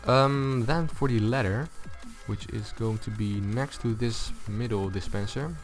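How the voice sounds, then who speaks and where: A man with a low voice giving a casual, unscripted gaming tutorial, thinking aloud as he goes. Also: a little slow. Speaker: video tutorial host. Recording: music behind the voice. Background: loud music.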